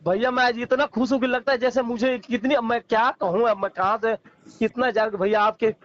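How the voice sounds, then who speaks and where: A man's voice: very high-pitched, steady tone, fairly quick, fairly bright tone. A middle-aged man chatting casually on the phone, effusive and deferential toward the person he is talking to. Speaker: fan calling in, factory worker. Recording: muffled phone call recording.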